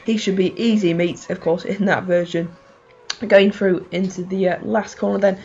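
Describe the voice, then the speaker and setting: A woman with a hoarse, fading voice giving rambling, excitable play-by-play of her racing game, full of fillers. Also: mid-range voice, normal speed, muffled recording. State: hoarse, losing voice. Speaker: gaming channel host.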